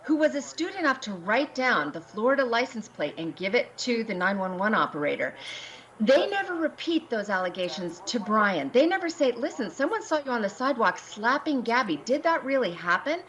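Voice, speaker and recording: A woman's voice: a little high, sing-song, a little fast, fairly bright tone. Woman commentator on a dull, lo-fi link. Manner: acts out hypothetical quoted questions. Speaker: TV panelist. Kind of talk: analytical news commentary.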